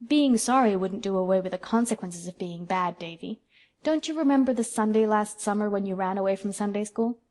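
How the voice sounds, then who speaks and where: A woman's voice: mid-range voice, a little fast, darkish tone, natural voice. A woman voicing a dreamy, effusive young woman who speaks in a lyrical, gushing way. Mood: gentle.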